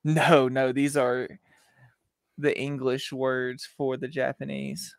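A man's voice: medium pitch, little pitch movement, slow pace, gravelly, clean tone, no echo, clean studio sound. A man in his thirties co-hosting a podcast over a video call on a headset mic, bantering in an offhand, joking way. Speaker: podcast co-host. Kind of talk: casual chat. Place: home room on a video call.

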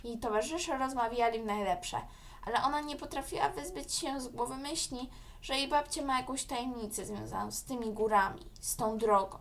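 A woman with a high-pitched voice narrates a children's story. She reads from a script as the storyteller. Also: normal speed.

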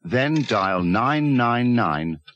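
A man's voice: medium pitch, animated, extremely slow, a bit bright, highs cut off, soft background music. A man with a British accent narrating a scripted safety warning as an advert voice-over.

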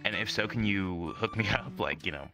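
A man making deadpan jokes in casual online chat, with music behind. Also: low voice, moderate pace. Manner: deadpan joking, lively. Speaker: chat partner.

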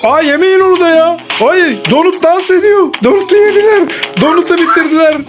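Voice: very high voice, a little fast. A host playing with children in an improvised make-believe game, exclaiming, teasing and repeating phrases. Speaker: host of a family play video who grants wishes. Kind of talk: playful improvised play with children. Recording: loud background music. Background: music.

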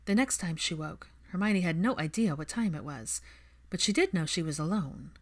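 A woman's voice: on the low side, lively intonation, normal speed. A woman reading fiction aloud as an audiobook narrator.

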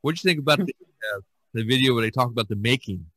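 Bright-voiced rambling male podcast host. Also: medium pitch, animated, quick pace, no room sound. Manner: rambling, many fillers and restarts. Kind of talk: casual discussion.

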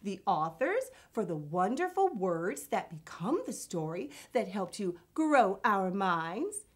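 A woman's voice: medium pitch, breathy edge. A woman in her thirties presenting to children in a theatrical, emphatic, enthusiastic way. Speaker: children's show host.

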